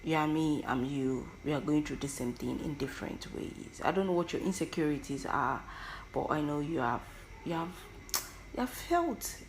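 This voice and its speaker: A young woman with an Igbo accent talks alone into the mic in a personal monologue. Her voice sits very low for a woman, and she often stumbles and repeats words as she thinks aloud. A faint hiss of background noise runs under the recording. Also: animated, normal speed, low-quality recording. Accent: Nigerian Igbo. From Igbo land, Nigeria. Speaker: podcast host.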